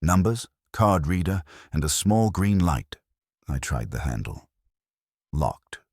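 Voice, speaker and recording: Male audiobook narrator for detective fiction: extremely low, husky, wry, lively intonation. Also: slow, no echo. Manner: dry, wry. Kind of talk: scripted first-person detective story narration.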